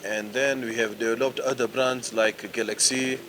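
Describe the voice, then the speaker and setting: Measured businessman, noisy recording. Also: medium pitch, fairly even intonation, a bit bright. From Tanzania. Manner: measured, explanatory, switching languages. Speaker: company managing director. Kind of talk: business presentation.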